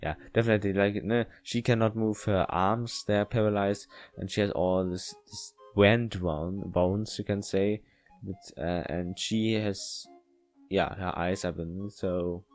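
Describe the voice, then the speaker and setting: Slow, halting man narrating a game. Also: lowish voice, fairly even intonation, soft background music. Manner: halting, stumbles and repeats words while reading. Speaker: gaming video host playing a visual novel. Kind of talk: casual let's play commentary with read-aloud story text.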